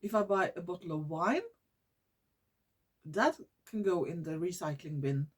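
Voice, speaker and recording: A woman from Norway with a Norwegian accent, hosting her own vlog in English, which is not her first language, often pausing to search for words and restarting her sentences. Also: on the low side, slightly rough. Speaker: YouTube channel host. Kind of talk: casual vlog monologue.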